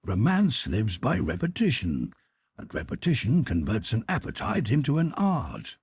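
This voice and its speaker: A man's voice: medium pitch, huge pitch swings, normal speed, darkish tone, slightly rough, no room sound, voice pushed up, heavily acted. A man reading a novel aloud, voicing a cynical, worldly English lord who drawls out clever sayings. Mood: languid. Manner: languid, worldly, drawling aphorisms. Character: cynical epigram-loving English lord, middle-aged. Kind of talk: audiobook reading.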